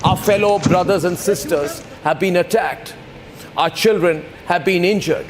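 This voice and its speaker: A man's voice: high voice, unhurried, very noisy. An Indian man anchoring live breaking news with an Indian English accent, strident and declamatory, repeating phrases for emphasis.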